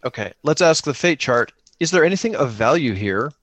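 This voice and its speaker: A man's voice: medium pitch, lively intonation, a little fast, a bit bright, no echo. A man hosting an improvised tabletop roleplaying game in casual chat, thinking aloud and posing questions that he then answers. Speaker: host and player of an improvised solo-engine tabletop game.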